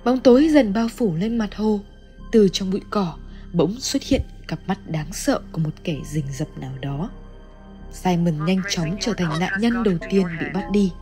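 A woman's voice: mid-range voice, animated, moderate pace, mellow. A woman reads a scripted story recap as narrator. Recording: music under the voice. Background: background music.

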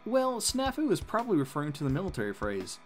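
Animated man co-hosting commentary. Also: high-pitched, fast, faint music behind. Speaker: co-host. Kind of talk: scripted explanatory commentary.

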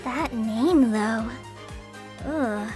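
A woman's voice: on the high side, extremely slow. A woman voicing a shy, earnest young trainee forest ranger girl in a video game, hesitant and sincere. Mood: hesitant, uneasy. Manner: hesitant, soft-spoken, sincere. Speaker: game character voice. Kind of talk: scripted video game character lines. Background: background music.

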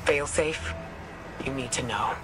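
An actor playing a resolute field commander in a video game, urgent and earnest. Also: male voice, mid-range voice, takes it slowly, background noise, natural voice. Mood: determined. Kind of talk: video game mission dialogue.

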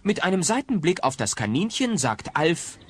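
A man narrating a family audio play in a scripted storytelling style, with a husky voice. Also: fairly high pitch, big pitch swings, normal speed, slight background noise.

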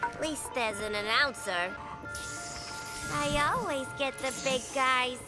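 A woman's voice: sits high, takes it slowly. A woman voicing a playful, cheeky young clown villainess in a video game, flippant and teasing. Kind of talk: video game character acting. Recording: loud music behind.

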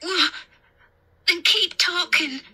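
A woman's voice: high-pitched, a little slow, pushed and bright, voice pushed up, exaggerated voice. A woman voicing a terrified, pleading country boy in an audiobook story, with a panicky, stammering manner. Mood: anxious. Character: terrified pleading country boy, child, shrieking for his mother.

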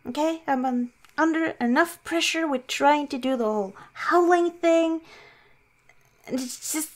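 A woman playing a shy, awkward young werewolf girlfriend in improvised ASMR roleplay, halting and self-mocking. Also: sits high. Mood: flustered, insecure.

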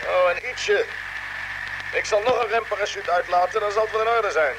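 Casting: male actor as calm, brisk space captain, high voice, vintage radio play with faint noise. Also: natural voice. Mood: focused. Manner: brisk, authoritative, giving orders. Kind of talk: vintage Dutch radio drama acting. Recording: old radio recording with background noise.

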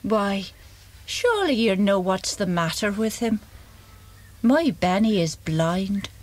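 A woman's voice: medium pitch, very animated, slow. A woman playing an anxious, tender-hearted mother, pleading and emotional, in an audio drama. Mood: sorrowful.

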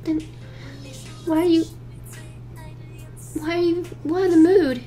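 A woman's voice: very high voice, steady tone, slow, warm tone, faint music behind, pitched above the performer's own voice. A woman voicing a lovesick young superhero girl, earnest, stubborn and pleading, in a fan audio drama. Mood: upset. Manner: pleading, emotional.

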